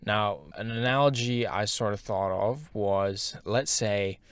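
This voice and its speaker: A man's voice: on the low side, clear, resonant tone, no room sound. Candid young man in a dry room. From half Japanese, schooled in Singapore. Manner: candid, animated, drops into slang and filler words. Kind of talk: casual storytelling monologue.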